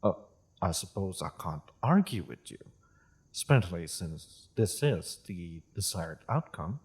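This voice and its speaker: Fantasy roleplay half-dragon, acted by a man: low, husky, courteous and grave, speaking in formal phrases. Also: sing-song, exaggerated voice. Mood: flustered surprise. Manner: measured, formal, deliberate storyteller-like phrasing. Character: polite, solemn male half-dragon seeking peace with humans. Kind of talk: fantasy audio roleplay addressed to the listener.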